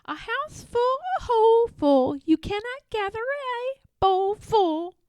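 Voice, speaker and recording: A woman's voice: very high-pitched, lively intonation, takes it slowly, a little mellow, no room sound, pitched above the performer's own voice, heavily acted. A woman voicing an impertinent young male squirrel from a storybook, chanting riddles in a teasing sing-song. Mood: cheeky, teasing. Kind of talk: storybook read-aloud character voice.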